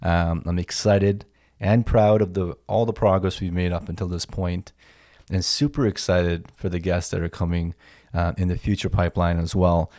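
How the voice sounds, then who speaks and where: A man hosting his podcast in a studio, talking in a relaxed, casual way with lots of "um" and "you know" and repeated words. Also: deep voice, normal speed. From Toronto area, Canada. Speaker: podcast host. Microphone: studio headphones and mic.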